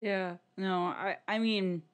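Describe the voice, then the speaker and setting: Mid-pitched voice, casual podcast co-host, rambling and halting, repeated words, informal and sweary. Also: steady tone. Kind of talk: casual podcast discussion of media.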